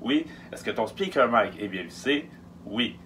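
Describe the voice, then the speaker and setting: A man's voice: medium pitch, animated, a bit bright. Quebec man explaining things emphatically, faint hum. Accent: Quebec French. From Quebec, Canada. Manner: emphatic, repeats key words, hesitant pauses. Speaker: film production logistics technician giving tips. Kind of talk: informal instructional explanation. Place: small wooden-lined storage truck interior. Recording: faint hum and background noise.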